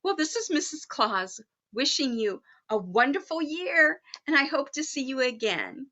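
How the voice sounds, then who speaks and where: A woman's voice: high voice, very animated, measured pace, sharp tone, muffled recording. A woman reading a children's story in a sing-song, child-directed way, chatting to young listeners in the role of Mrs. Claus. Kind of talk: children's story time read-aloud with asides.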